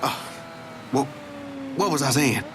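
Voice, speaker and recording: A man playing a chatty, excitable passenger in a crime video game. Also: medium pitch, a little slow, noisy background, close to an everyday voice. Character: talkative nervy male passenger in crime game. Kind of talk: naturalistic video game acting. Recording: loud music and car engine behind.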